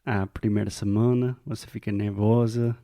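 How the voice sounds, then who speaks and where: American man with a gravelly, mellow voice. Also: lowish voice, level tone, moderate pace, dry recording, clean studio sound. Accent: American English. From United States. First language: English. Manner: earnest and reflective, thinks aloud. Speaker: podcast co-host. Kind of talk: casual conversation.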